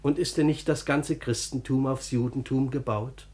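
Casting: male actor playing humble, kindly monk in classical radio play; medium-pitched, earnest, plain-spoken. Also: fairly even intonation, normal speed, a little mellow, close to an everyday voice. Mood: earnest, warm. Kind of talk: German classical radio drama acting.

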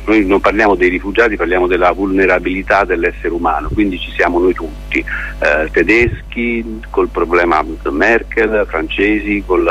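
A man answering as a theatre director in a radio interview, explaining in a halting, deliberate way with pauses and repeated words. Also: low-pitched, steady tone, a bit bright. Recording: muffled, lo-fi radio interview with noise.

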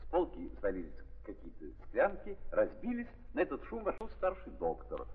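Wildly expressive, husky male theatrical narrator. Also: mid-range voice. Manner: impassioned, repeats phrases with heavy pauses. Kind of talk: 1930s theatrical dramatic narration. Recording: muffled, echoing 1930s archive recording.

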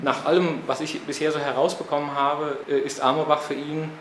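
A man who is an author, speaking thoughtfully in an interview and halting often on fillers. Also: a little high, level tone, reverberant.

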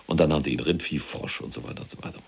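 An actor playing a proud, callous, imprisoned SS general recounting the war in a gravelly, husky, self-important military manner in a radio drama. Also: male voice, extremely low pitch, fast, full character voice. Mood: agitated, indignant. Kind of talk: dramatized first-person war recollection.

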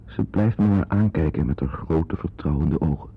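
An actor playing a melancholy, protective pet owner in a radio drama, brooding and a little hesitant. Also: male voice, rumbling low, moderate pace, slightly rough, exaggerated voice. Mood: wistful. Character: melancholy, protective male pet owner, narrating his thoughts. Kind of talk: radio drama acting, introspective narration and dialogue. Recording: dull lo-fi radio play recording.

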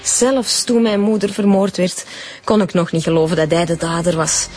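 Actress as a cold Flemish widow confessing, faint music under. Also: female voice, fairly low pitch, normal speed, darkish tone, natural voice. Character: Annie, bitter widow who killed her husband. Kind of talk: radio drama acting.

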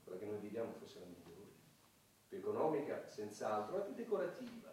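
A man's voice: on the low side, big pitch swings, brisk, darkish tone, slight background noise. A man playing a cynical, self-important older president in a radio play, speechifying in a pompous, sardonic way. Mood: condescending. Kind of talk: theatrical radio drama acting. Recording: very echoey hall, distant mic, music.

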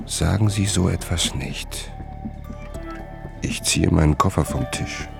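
A man playing a wry, brooding traveller who narrates a radio drama in a measured, terse, gravelly voice. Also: low voice, exaggerated voice. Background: music.

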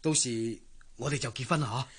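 An actor playing a devoted young sailor fiancé in a Cantonese radio drama, earnest and reassuring, with a Hong Kong accent. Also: male voice, mid-range voice, moderate pace, slightly rough. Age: young adult.

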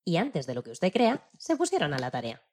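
Husky, wildly expressive woman storyteller. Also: deep voice, brisk. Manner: playful, declamatory. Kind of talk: theatrical rhyming children's narration.

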